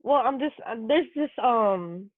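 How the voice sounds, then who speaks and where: A woman's voice: fairly high pitch, animated, measured pace, no room sound. A young woman chatting casually in a group podcast conversation. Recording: muffled, phone-like, thin sound.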